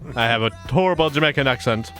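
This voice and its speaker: A man's voice: medium pitch, quick pace, fairly bright tone, faint music behind. A man in his thirties hosting a casual countdown chat, upbeat, reading out chart facts and laughing often. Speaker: radio show host.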